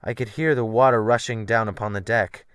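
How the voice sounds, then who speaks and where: A man giving a theatrical, expressive first-person dramatic reading of a literary sea tale as an audiobook narrator. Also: on the low side, fairly quick, clear, resonant tone, no echo, clean studio sound. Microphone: USB desktop microphone.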